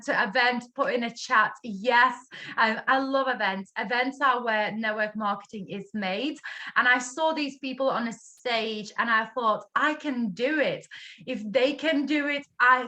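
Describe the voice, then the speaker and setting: A woman's voice: fairly high pitch, bright, forceful tone. A woman from Latvia with a Latvian accent, giving an enthusiastic, direct motivational talk over a video call. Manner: enthusiastic, direct, addresses audience as guys. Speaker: guest speaker. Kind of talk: motivational online presentation.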